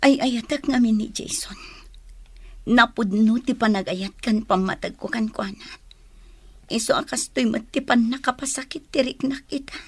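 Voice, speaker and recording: A woman playing a heartbroken young mother in a radio drama, with a breathy, husky voice. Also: on the high side, moderate pace, no room sound. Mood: sorrowful, hurt. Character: heartbroken young mother clinging to her unfaithful partner.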